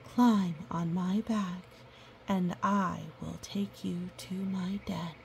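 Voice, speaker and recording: Woman as a slow, gentle mother polar bear. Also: lowish voice, fairly even intonation, vocal fry, a little hiss or hum. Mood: gentle, reassuring. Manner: reassuring, unhurried. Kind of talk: storybook character voice.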